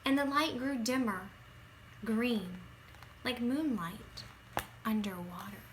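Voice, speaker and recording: A woman reading a children's picture book aloud in an expressive, dramatic way, stretching words for emphasis and moving between hushed phrases and bursts. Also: fairly high pitch, slow, a little hiss or hum, low-quality recording.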